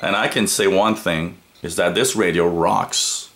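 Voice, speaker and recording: A man with a fairly low voice talks through an unscripted product review. He speaks haltingly, pausing often as he works through his points. There is some noise behind him on the recording. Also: fairly even intonation. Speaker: radio reviewer. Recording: noticeable background noise.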